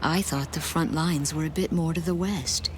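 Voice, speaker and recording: An actress playing a pensive, war-weary woman, narrating her journey in a measured, scripted dramatic style. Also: low voice, fairly even intonation, noisy background, close to an everyday voice.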